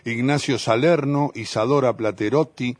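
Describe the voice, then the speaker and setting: A man's voice: medium pitch, normal speed, no echo. A man with an Argentine accent hosting a radio interview in a conversational way. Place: radio studio.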